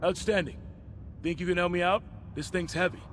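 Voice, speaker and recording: An actor playing a determined, gutsy police officer in a video game, with an American accent and brisk, clipped delivery. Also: male voice, high voice, steady tone, a bit bright, a little hiss or hum. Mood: businesslike. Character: determined, gutsy male police officer, Spider-Man's ally. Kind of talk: video game voice acting, natural dialogue.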